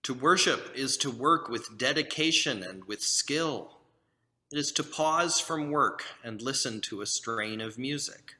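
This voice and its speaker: A man's voice: mid-range voice, a little slow. A man leading an online worship service as minister, chatting lightly before moving into a solemn responsive reading over a video call. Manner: relaxed, joking asides before solemn reading.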